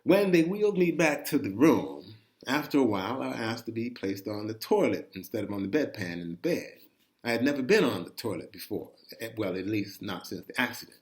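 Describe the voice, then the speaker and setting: A man reading a first-person chronicle aloud as a dramatic storyteller, conversational and expressive, with deliberate emphasis on his phrasing. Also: fairly low pitch.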